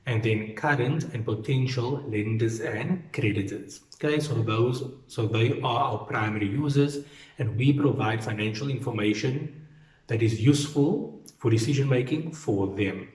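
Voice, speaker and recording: A man's voice: mid-range voice, normal speed, a little mellow. A man gives an explanatory lecture in a didactic way, repeating key points for emphasis. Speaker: accounting lecturer. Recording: roomy, echoing sound.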